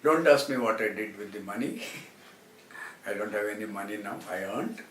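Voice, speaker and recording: A man from Karnataka with a South Indian accent and Telugu as his first language, rambling to viewers about his own life in a halting way with repeated phrases. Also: fairly low pitch, expressive, a bit bright. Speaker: translator and former film actor. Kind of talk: rambling autobiographical talk to viewers. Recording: roomy, distant mic, faint background noise.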